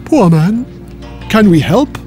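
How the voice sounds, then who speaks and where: Man playing a gullible kindly bishop, high voice, earnest, pastoral, expressive swings in pitch, storybook character. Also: slow, faint music behind, heavily acted. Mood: sympathetic.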